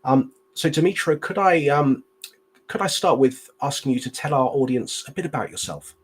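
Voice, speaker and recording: A man's voice: medium pitch, animated, moderate pace, slight background noise. A man hosting a live stream interview, polite and formal, with occasional fillers. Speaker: host.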